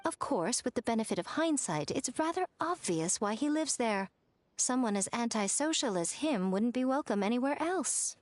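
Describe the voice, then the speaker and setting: A woman plays an elegant, teasing shrine lady in a game. Her voice is on the high side and swings expressively, with a playful, knowing poise. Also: lively intonation, normal speed, no echo, close to an everyday voice. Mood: wry. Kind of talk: animated video game voice acting.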